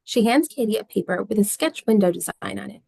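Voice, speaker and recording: A woman's voice: medium pitch, lively intonation, brisk, creaky, warm tone. A woman reading scene descriptions and stage directions as the narrator of a script.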